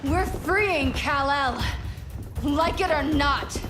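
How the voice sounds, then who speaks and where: Very high-pitched, defiant young superheroine. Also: female voice, slow. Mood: defiant, urgent. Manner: forceful, defiant. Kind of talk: dramatic video game cutscene acting. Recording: loud music and crowd-like din behind.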